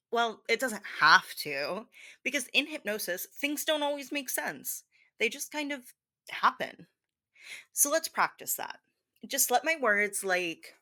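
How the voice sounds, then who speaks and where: A woman acts out a ditzy, bubbly hypnosis teacher with a bright voice. She speaks slowly and hesitantly, her pitch swinging widely as she loses her thread in a soft, girlish, giggly roleplay. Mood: soft, soothing. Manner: scattered, hesitant, girlish filler-laden talk with gasps and giggles. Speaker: hypnosis performer playing a teacher.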